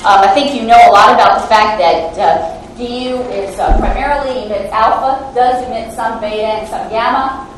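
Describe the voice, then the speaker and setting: Lady scientist giving a lecture, kinda high voice, self-deprecating, lots of ums, super echoey hall, faint room hum. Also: normal speed, hardly any pauses. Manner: hesitant, self-deprecating, with fillers. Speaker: guest lecturer, lab scientist. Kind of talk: academic lecture. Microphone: handheld microphone. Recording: echoey hall, mic far off.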